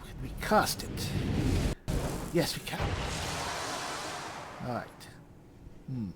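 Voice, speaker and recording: A man thinking aloud in character as he plays a roleplaying game, measured and deliberate, often muttering to himself. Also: medium pitch, sing-song, heavy background noise. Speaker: gamer narrating a roleplay playthrough.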